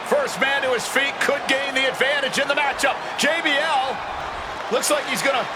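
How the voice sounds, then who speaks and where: A man playing an excited pro wrestling commentator, calling play-by-play in a dramatic, projecting voice. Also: extremely high pitch, moderate pace. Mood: intense. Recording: loud arena crowd noise and music. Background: roaring crowd, thumps.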